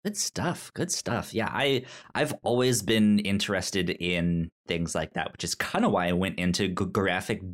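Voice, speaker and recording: A man's voice: low voice, moderate pace, hardly any pauses. A man co-hosting a podcast, chatting casually and reacting in short, loose phrases. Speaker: podcast co-host. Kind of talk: casual chat.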